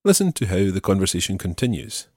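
A man teaching French, patiently explaining and repeating phrases slowly. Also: low voice, very animated, a little mellow, breathy edge, dry recording, clean studio sound. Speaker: language teacher.

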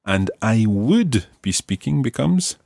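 A man's voice: fairly low pitch, takes it slowly, gravelly, dry recording, studio quality. A man hosting a language lesson podcast, explaining grammar patiently and encouragingly. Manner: patient, encouraging, lively intonation. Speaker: teacher and podcast host.

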